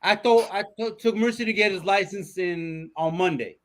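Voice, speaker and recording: A man's voice: high-pitched, moderate pace, slight background noise. A man co-hosting a casual podcast, talking politics with friends, swearing freely and restarting his sentences. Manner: animated, swearing, many restarts. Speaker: podcast co-host.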